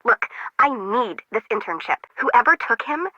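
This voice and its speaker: A woman's voice: on the high side, sing-song, normal speed, bright, forceful tone, no room sound, highs cut off, heavily acted. A woman voicing a quirky, anxious young intern who works as a radio operator in a video game, with slangy, dry, jokey delivery. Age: young adult. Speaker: harbor control tower operator.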